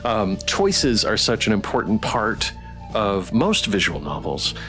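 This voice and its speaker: A man hosting a casual livestream interview, asking questions in a halting, appreciative way. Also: lowish voice, sing-song, a bit bright, soft background music. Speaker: interviewer and stream host.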